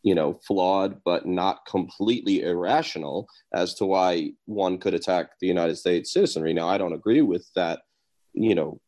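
This is a man taking part in a casual conversation. His voice is very low and unhurried, and he hedges his statements as he goes. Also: darkish tone.

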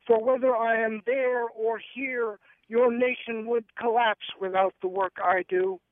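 A man's higher-pitched voice reaches the show through a tinny telephone line, dry and close with no room sound. He speaks thoughtfully, often restarting his sentences. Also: steady tone, no echo. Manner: thoughtful, hesitant restarts; measured reading. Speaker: guest poet and activist. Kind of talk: radio interview and poetry reading.

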